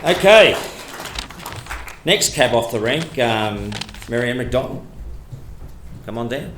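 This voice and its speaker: A man acting as a jovial master of ceremonies, brisk as he introduces speakers at a public forum. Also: mid-range voice, expressive. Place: hall at a public meeting. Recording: echoey hall with crowd noise.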